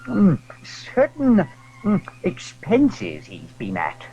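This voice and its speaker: An actor playing a fussy, obsequious French innkeeper in an audio drama, hesitant and deferential, humming and hawing. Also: male voice, sits high, wildly swooping pitch, takes it slowly, mellow, slightly rough, heavily acted. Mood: hesitant complaint. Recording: dull lo-fi 1980s audio drama, faint music.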